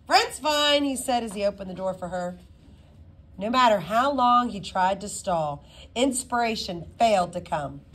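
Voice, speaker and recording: A woman reading a children's novel aloud as an expressive storyteller. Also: medium pitch, moderate pace. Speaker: reader.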